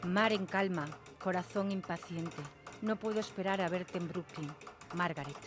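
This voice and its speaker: A woman's voice: lowish voice, normal speed, lightly acted. An actress playing a lovelorn female passenger in a radio drama, reading a telegram in clipped, longing phrases.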